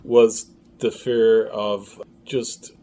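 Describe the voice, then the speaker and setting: A man talking casually in an interview as a writer and director, explaining his work haltingly and with enthusiasm. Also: on the low side, little pitch movement, mellow. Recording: faintly noisy room ambience.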